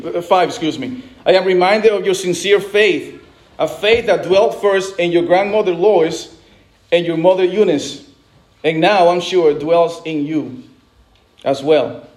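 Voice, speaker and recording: A man from the Dominican Republic preaching with a notably high voice. He talks to the congregation in a loose, conversational way, restarting words and dropping in casual asides. Middle-aged, a pastor in full sermon.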